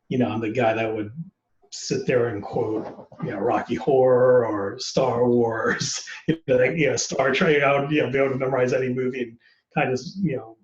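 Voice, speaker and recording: Male actor guest, 40s, loose rambly talker with constant fillers; roomy, muffled sound. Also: mid-range voice. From United States. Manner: relaxed, rambling, many "you know" and "um" fillers. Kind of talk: casual interview chat.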